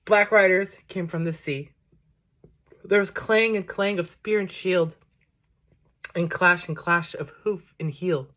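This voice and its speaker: A woman reading poetry aloud, delivering it as a measured, declamatory recital in short phrases. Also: fairly low pitch, fairly bright tone. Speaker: poetry reader introducing a book.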